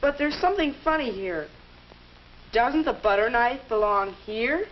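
A man's voice: extremely high pitch, lively intonation, measured pace. A young male actor playing a reluctant, sceptical fourteen-year-old boy in a 1950s instructional film. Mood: hesitant, curious. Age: teenager. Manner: hesitant, sceptical. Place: indoor film set. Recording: dull, noisy old 1950s film soundtrack.

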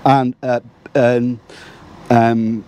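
Older English man vlogging outdoors, mellow. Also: medium pitch, flat delivery, very slow. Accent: Lancashire with a slight Liverpool tinge. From Prescot, England. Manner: hesitant, many ums and restarts. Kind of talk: casual vlog to camera. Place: outdoors by a theatre at dusk. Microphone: camera close at arm's length.

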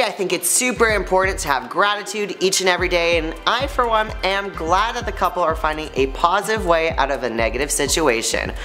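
A young man with a high-pitched voice hosting an upbeat, scripted news recap in a studio, chatty and playful. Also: sharp tone. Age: young adult. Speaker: host.

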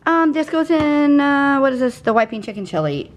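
A woman's voice: sits high, animated, normal speed, slight background noise. A woman in her forties chatting casually and rambling as she shows her groceries in her home kitchen. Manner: chatty, rambling, easily sidetracked. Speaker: vlogger. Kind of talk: casual grocery haul chat.

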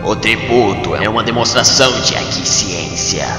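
A Brazilian man voicing an arrogant alien envoy of a tyrant in a motion comic, with a slow, taunting, theatrical delivery. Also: on the low side, natural voice. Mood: menacing. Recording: echoey long reverb, music underneath.

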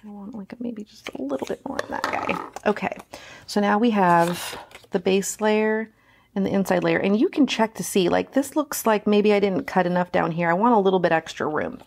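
A woman's voice: medium pitch, normal speed, vocal fry, a little mellow, a little hiss or hum. A woman hosting a casual hands-on craft tutorial, chatting informally and thinking aloud as she works.

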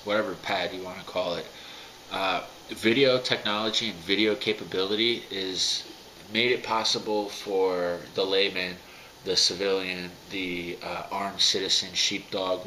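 A man's voice: sits low, sharp tone, slight background noise, low-quality recording. A man in his thirties, getting over a cold, giving a rambling, filler-heavy talk to his camera at home. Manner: rambling, many fillers and restarts. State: recovering from a cold. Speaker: firearms vlogger. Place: dim home room, indoors.

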